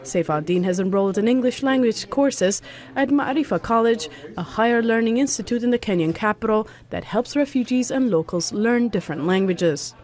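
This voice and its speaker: A woman's voice: fairly high pitch, expressive, normal speed, a little mellow. A woman narrating a scripted news report.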